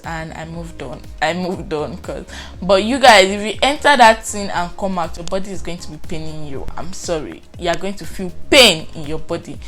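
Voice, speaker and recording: A young woman from Nigeria with a Nigerian accent, chatting expressively in a casual vlog and acting out her reactions. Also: lowish voice. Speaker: vlogger.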